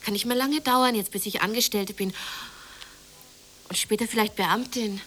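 An actress playing a lovestruck, hopeful and quietly defiant working woman in a radio play, speaking plainly with dry retorts. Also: mid-range voice, normal speed, slight background noise, close to an everyday voice. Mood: dreamy, hopeful. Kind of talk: naturalistic radio play dialogue.

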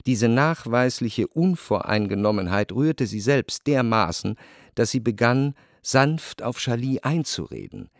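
Lively, ironic male narrator. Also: mid-range voice, unhurried, no room sound, clean studio sound. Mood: ironic, analytical. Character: wry, ironic literary narrator of society intrigue. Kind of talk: scripted narration in a radio drama.

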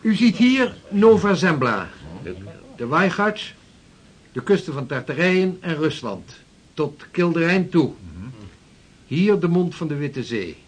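An actor playing an earnest, practical seafaring mapmaker in a historical radio drama, explaining things emphatically. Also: male voice, a little high, big pitch swings, slow, pauses often. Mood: earnest, persuasive.

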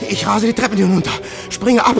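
A frightened undercover agent recounts being chased through city streets. His voice sits a little high and turns quiet and hushed in calm passages and breathless in the chases. Clear music plays under the narration. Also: fairly quick. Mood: urgent. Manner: hushed, then urgent and breathless. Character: hunted undercover agent narrating, tense and fearful. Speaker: first-person narrator. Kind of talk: radio play narration. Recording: narration over loud music.

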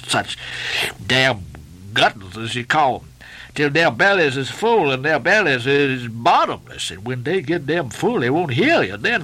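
A man's voice: medium pitch, fairly bright tone, low-quality recording. A man voicing a sullen ninety-year-old ship's cook in a novel, muttering and growling in grudging dialect.

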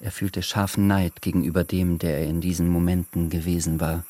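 Low-voiced male radio drama narrator. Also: flat delivery, brisk, dark tone. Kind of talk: scripted literary radio narration. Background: faint music.

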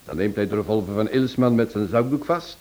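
An actor playing a theatrical sleuth in a radio play, telling the case emphatically and with relish. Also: male voice, fairly low pitch, animated, fairly quick, a little mellow, lightly acted. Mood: dramatic relish. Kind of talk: Dutch radio play acting.

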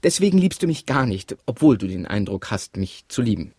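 A man's voice: lowish voice, very animated, fairly quick, darkish tone, breathy edge, no echo, studio quality. An actor playing a stubborn, self-justifying man torn between two women in an absurdist radio drama, arguing pedantically and repeating his phrases. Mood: evasive, argumentative.

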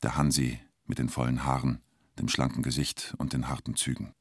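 An actor as the narrator of a radio play about a robber, speaking in terse, deliberate, clipped phrases. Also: male voice, a bass voice, flat delivery, breathy edge, dry recording, clean studio sound, heavily acted. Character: male narrator telling a bank robber's story tersely. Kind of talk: radio play narration, short present-tense sentences.

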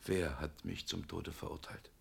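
An actor playing a lonely man woken at night, speaking quietly and clearly with fear under his calm, in a radio play. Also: sits low, measured pace, heavily acted. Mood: hushed, fearful. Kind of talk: 1960s radio play acting.

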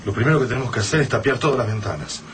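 A man's voice: mid-range voice, very fast, close to an everyday voice. An actor with a Rioplatense Argentine accent playing a frightened male survivor in a radio drama, speaking tensely and earnestly. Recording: noisy radio-drama recording.

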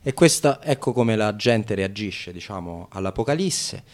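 A man hosting an informal radio show from a studio, talking in a halting, wryly joking way. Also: fairly low pitch, expressive, a little mellow. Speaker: radio host.

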